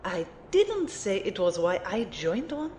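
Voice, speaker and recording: A woman's voice: mid-range voice, very animated, unhurried, darkish tone, slight background noise. A woman playing a haughty, cool-headed female mentor in an audio drama, speaking formally and precisely with condescension. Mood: dismissive, cool.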